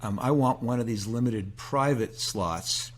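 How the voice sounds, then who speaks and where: A man hosts a podcast interview with an easygoing, folksy delivery. His pitch sits in a middle range, and his questions are loose and friendly, with plenty of pauses and fillers. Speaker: podcast host. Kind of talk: conversational interview.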